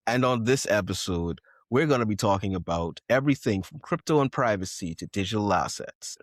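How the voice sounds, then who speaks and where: A man's voice: fairly low pitch, lively intonation, brisk, dry recording, clean studio sound. A man hosting a podcast, chatting casually and rambling with lots of "like" and frequent laughter. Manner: rambling, heavy use of "like", laughs a lot. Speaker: podcast host.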